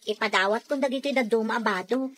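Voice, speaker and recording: A woman's voice: on the high side, quick pace, a bit bright. A woman playing a complaining, hard-to-please housewife and elder sister in a radio comedy drama. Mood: demanding.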